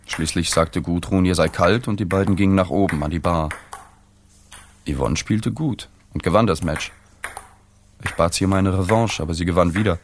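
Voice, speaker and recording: A man's voice: sits low, normal speed, slight background noise. An actor playing a subdued, awkward male journalist who narrates a radio play in an understated, detached way. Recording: music behind the voice.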